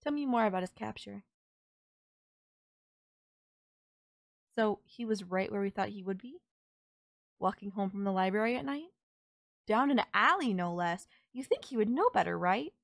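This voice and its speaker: A woman's voice: medium pitch, expressive, normal speed, creaky, no room sound, clean studio sound. A woman playing a sultry, possessive kidnapper who gloats over and coaxes a captive in an intimate audio roleplay. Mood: smug, pleased. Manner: teasing, coaxing, controlling.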